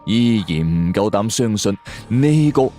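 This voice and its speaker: A man narrates a suspense story in the first person, in a theatrical, deliberate way. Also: on the low side, lively intonation, dark tone. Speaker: storyteller narrating audio drama. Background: background music.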